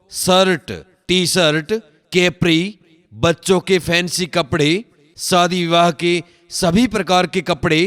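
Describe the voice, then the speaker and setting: Male promo announcer, unhurried and emphatic, phrase by phrase, heavy hall reverb on the recording. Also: high-pitched, fairly bright tone, smooth. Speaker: advertisement announcer.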